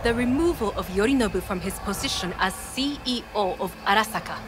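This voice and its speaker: An actress with a Japanese accent playing a formal, steely corporate heiress in a game cutscene, measured and restrained. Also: female voice, mid-range voice, expressive, noisy background, lightly acted. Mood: resolute. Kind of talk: video game cutscene acting. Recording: music behind the voice.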